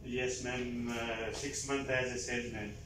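A man's voice: mid-range voice, little pitch movement, measured pace. Young Kashmiri man, broken short answers. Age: 20s. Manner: brief, broken phrasing. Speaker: job applicant. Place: small office room. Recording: echoey room, distant mic, noisy.

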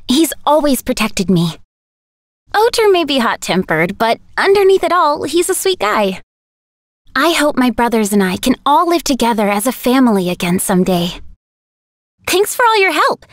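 A cheerful young heroine with a high, bouncing voice that swoops up and down as she talks. She sounds eager and full of energy, delivering short game lines. The recording is close and dry, with no room sound. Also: big pitch swings, normal speed, no echo. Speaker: game character. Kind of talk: game voice clips.

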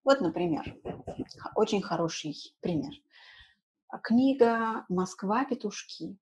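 Slow-paced husky woman teaching simply. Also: medium pitch, lively intonation, darkish tone, low-quality recording. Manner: explains simply, repeating key words and checking with short tag questions. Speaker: language teacher. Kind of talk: informal lesson in simple Russian for learners. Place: small room indoors at home.

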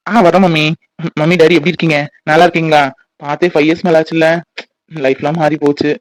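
A man's voice: fairly high pitch, little pitch movement, fast, dry recording, lightly acted, low-quality recording. A man playing an emotional, remorseful grown son in a family drama, earnest and pleading. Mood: emotional greeting. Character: remorseful, emotional grown son and father, fallen on hard times.

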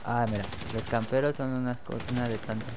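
Young Mexican gamer, gravelly voice, excitable commentary over faint gunfire. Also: male voice, medium pitch, fast, noisy background. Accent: Mexican Spanish. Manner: excitable, swears when killed. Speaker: gameplay commentator. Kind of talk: live gameplay commentary.

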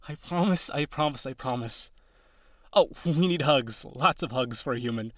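A man playing a tender, insecure young male harpy in an intimate audio roleplay, halting and pleading as he speaks. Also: medium pitch, a bit bright, no room sound, clean studio sound, close to an everyday voice. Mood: elated, grateful.

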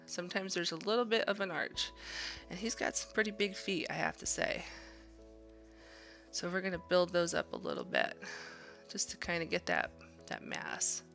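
A woman's voice: on the low side, big pitch swings, normal speed, gravelly, pauses often, a bit bright, faint music behind. A woman art instructor giving a casual demonstration, thinking aloud in an encouraging way as she draws. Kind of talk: casual drawing demonstration narration.

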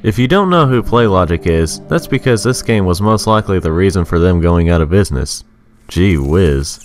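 A man's voice: sits low, animated, moderate pace, soft background music. A man reading a scripted, rhyming video game review in a sarcastic, sing-song manner.

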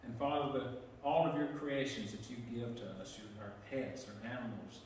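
Middle-aged pastor in an echoey sanctuary. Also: male voice, medium pitch, steady tone, darkish tone, recorded from a distance, a little hiss or hum. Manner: plain-spoken, halting with fillers. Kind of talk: church prayer time and pastoral prayer. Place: large church sanctuary. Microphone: pulpit mic, camera far back.

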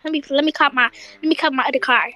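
Woman playing a feisty high-pitched granny. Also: fairly even intonation, racing, sharp tone. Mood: bossy. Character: feisty, combative old granny spoiling for a fight. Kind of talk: improvised online roleplay acting.

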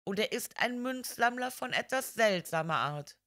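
A woman voicing a polite, gentle male priest detective who explains things calmly in a crime audiobook. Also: a little high, moderate pace, voice pushed up. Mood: polite, certain.

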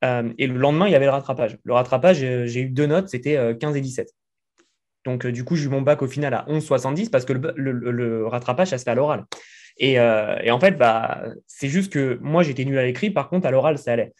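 A man's voice: mid-range voice, fairly quick, darkish tone. A man in his thirties from France, a guest talking casually in an interview from his living room, chatty with many fillers. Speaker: guest entrepreneur. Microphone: desk mic in frame.

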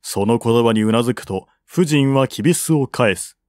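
A man's voice: mid-range voice, lively intonation, moderate pace, a little mellow, dry recording. Formal male narrator, very dry sound. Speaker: audiobook narrator. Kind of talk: scripted literary narration.

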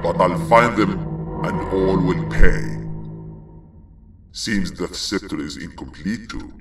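A man voicing a menacing, vengeful monster villain for a video game, grandiose and deliberate in his threats. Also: low voice. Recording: echoey, distant, under loud music. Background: loud music.

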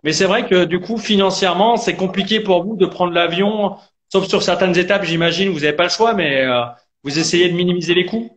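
Casting: young man as informal interview host, fairly high pitch, stumbling through repeated words. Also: fairly bright tone. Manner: halting, repeats words while forming questions. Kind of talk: casual live interview. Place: indoors, plain room. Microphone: video call, device at arm's length.

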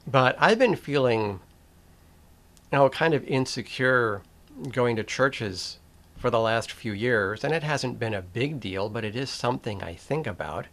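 An older man speaks at a very unhurried pace, weighing each phrase before he goes on. His pitch sits in an ordinary middle range, and he talks with pauses, restarts and dry asides. It sounds like he is at a desk in a small room, close to a webcam. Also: lively intonation, fairly bright tone. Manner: deliberate, with pauses and restarts, wry. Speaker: video essay host. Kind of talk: slow reflective commentary to camera.

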